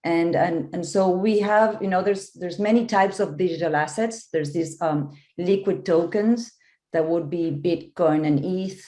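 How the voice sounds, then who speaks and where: A woman's voice: on the low side, measured pace, reverberant. Woman from Argentina on a video call. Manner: explanatory, steady with fillers. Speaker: panelist, investment partner. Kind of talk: conference panel remarks. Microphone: joining remotely by video call.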